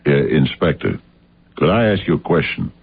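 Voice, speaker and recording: Very deep, gravelly, dogged investigator. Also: male voice, sing-song, exaggerated voice. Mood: insistent. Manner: halting, pressing questions with many ums. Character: gruff probing male sleuth, dogged and wary. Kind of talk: radio drama acting. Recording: muffled lo-fi radio drama recording.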